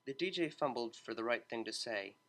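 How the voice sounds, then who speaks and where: Male audiobook narrator, measured and steady, bright voice, mid pitch.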